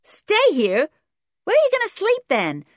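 Woman putting on a bratty, high-pitched American boy. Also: huge pitch swings, a little slow, dry recording, studio quality, heavily acted. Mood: brash, demanding. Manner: brash, whiny, demanding. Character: bratty loud eleven-year-old American boy.

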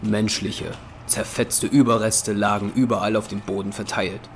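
Low-voiced male horror narrator. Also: level tone, normal speed, faint music behind. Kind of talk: scripted narration of a horror audio drama.

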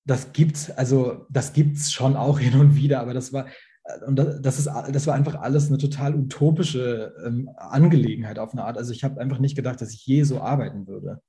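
A man's voice: medium pitch, fairly quick, mellow. A young man on a video call, a guest speaking hesitantly, with many fillers and restarts. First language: Farsi. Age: young adult. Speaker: actor, invited guest. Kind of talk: online panel talk.

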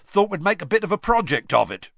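A man voicing a big, rough but kindly gamekeeper who talks gruffly and drops his consonants. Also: high-pitched, very animated, quick pace, a bit bright, no echo, clean studio sound, voice pushed up, exaggerated voice. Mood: eager. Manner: gruff, clipped dropped-consonant speech.

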